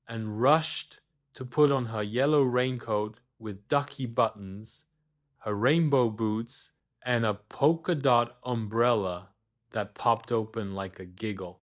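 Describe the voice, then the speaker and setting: A man reading a scripted children's bedtime story as its narrator. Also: medium pitch.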